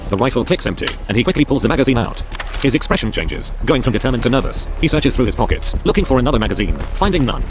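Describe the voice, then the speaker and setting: Fast-paced man narrating over music. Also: mid-range voice, low-quality recording. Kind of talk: scripted narration of action scenes.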